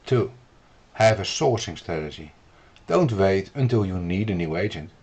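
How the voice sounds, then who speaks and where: Male consultant, Dutch accent, deeper voice, earnest explainer-video delivery. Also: lively intonation, darkish tone, husky. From Netherlands. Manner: earnest, measured, lecturing to the viewer. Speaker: business consultant.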